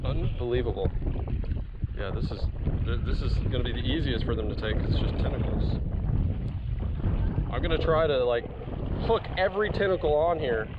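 A man in his thirties giving casual, excitable running commentary as he fishes outdoors, often exclaiming and repeating his phrases. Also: medium pitch, normal speed, pauses often. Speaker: vlogger fishing from a jet ski. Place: outdoors on a jet ski at sea. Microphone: action camera worn or held close. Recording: dull action-camera audio, loud wind and water.

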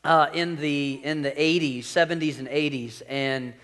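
A man's voice: fairly high pitch, fairly even intonation, measured pace, a bit bright. A man in his forties, a senior pastor preaching on a church stage in a folksy, joking way that draws in the crowd. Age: 40s. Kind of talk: sermon. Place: large church stage with screens.

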